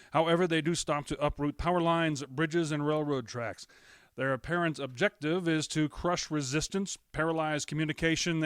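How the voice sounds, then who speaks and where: A man's voice: a little high, a bit bright, clean tone, no room sound, lightly acted. A man playing a radio announcer reading urgent bulletins in an amateur radio drama, hesitating and stumbling over his words.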